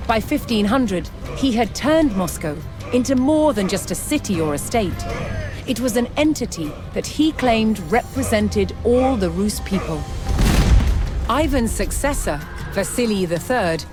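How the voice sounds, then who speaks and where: A woman giving scripted, documentary-style narration for a historical strategy game, measured and formal in her storytelling. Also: on the high side, lively intonation. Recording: music behind the voice. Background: music.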